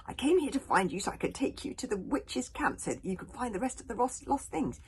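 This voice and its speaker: A woman voicing a nasty, frightened Viking bully boy who rasps and hisses. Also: on the high side, very animated, brisk, whispered, pitched above the performer's own voice. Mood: rasping pleading. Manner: rasping, hissing.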